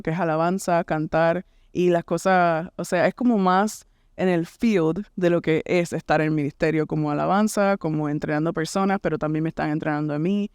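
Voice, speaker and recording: A woman's voice: on the low side, darkish tone, clear, resonant tone, no echo, clean studio sound. A young woman from Puerto Rico with a Puerto Rican accent, a podcast guest chatting casually, full of fillers and English words dropped in. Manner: chatty, uses fillers and drops English words into her Spanish. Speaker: podcast guest, church worship resident.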